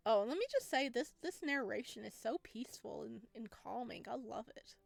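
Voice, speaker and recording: Playful, higher-pitched woman streaming a game. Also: lively intonation, normal speed, gravelly, no room sound. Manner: chatty, playful, reads lines aloud. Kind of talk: casual playthrough commentary. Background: game music.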